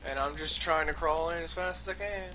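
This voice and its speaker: Playful young man, slightly high voice, gaming. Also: steady tone, a little fast, sharp tone, a little hiss or hum. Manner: joking, playful. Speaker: gaming partner. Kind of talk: casual chat while playing a co-op survival game.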